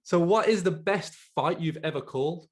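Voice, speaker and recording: Podcast host guy, fairly high voice, keen, lots of little "yeah"s. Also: normal speed. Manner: eager, short affirmations. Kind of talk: casual interview chat.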